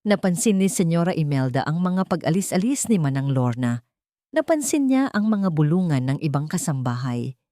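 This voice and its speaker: Female audiobook narrator, low register, close dry sound, dramatic first-person storytelling. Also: very animated, moderate pace, mellow, no echo.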